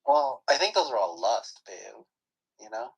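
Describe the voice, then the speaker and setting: Man, young adult, lively pitch, slightly raspy, relaxed teasing chat. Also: a little slow, low-quality recording. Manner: relaxed, laughs often, teasing. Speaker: livestream co-host. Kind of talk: casual chat with partner.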